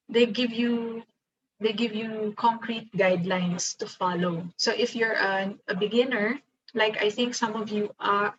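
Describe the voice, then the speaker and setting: A woman's voice: mid-range voice, fairly bright tone, husky. Filipino woman lecturing over a video call. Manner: mixes English with Tagalog, thinking aloud with fillers. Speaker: workshop lecturer. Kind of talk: online seminar lecture. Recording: dull lo-fi video call audio.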